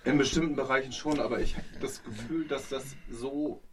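Brief: German man, a doctor, halting speech, distant mic with light hiss. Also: mid-range voice. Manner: hesitant, many fillers. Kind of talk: casual group discussion. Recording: distant mic with faint noise.

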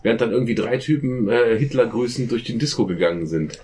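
A man's voice: medium pitch, animated, fast, darkish tone. A man in a casual group discussion who tells personal anecdotes and asks blunt questions.

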